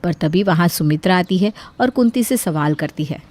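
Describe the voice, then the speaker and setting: A woman's voice: fairly low pitch, lively intonation, normal speed, a little mellow. A woman narrator reading a scripted story.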